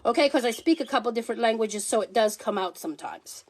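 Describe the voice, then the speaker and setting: Woman host, high voice, sassy emphatic delivery, playful accent switches; casual phone livestream. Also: animated, fast. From Paraguay. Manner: sassy, emphatic, pleading and teasing the viewers. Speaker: livestream host promoting products.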